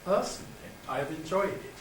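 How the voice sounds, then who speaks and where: A man's voice: fairly high pitch, lively intonation, a little fast. A man reading formal business letters aloud to an audience. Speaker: reader of publishers' letters. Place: conference hall. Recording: echoey room, distant mic, loud noise.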